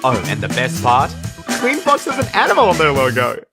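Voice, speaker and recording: Lively young man with animated pitch. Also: brisk. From Australia. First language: Urdu. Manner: animated and playful, jumping into high excited asides. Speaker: animated storytelling channel host. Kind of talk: scripted comedic storytelling narration. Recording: voice-over with faint background music.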